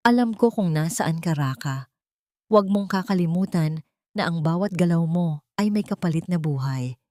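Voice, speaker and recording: A woman voicing a ruthless, scornful rich woman villain in an audiobook, with a threatening, deliberate, melodramatic delivery. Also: on the low side, animated, darkish tone, no room sound, clean studio sound, close to an everyday voice. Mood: cold menace.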